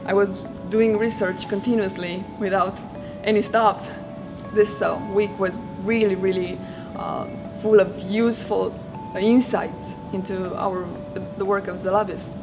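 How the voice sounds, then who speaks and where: A woman's voice: medium pitch, fairly even intonation, a little slow, rough recording. A young woman from Romania, a student, answering interview questions hesitantly with many fillers. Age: young adult. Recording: music playing underneath.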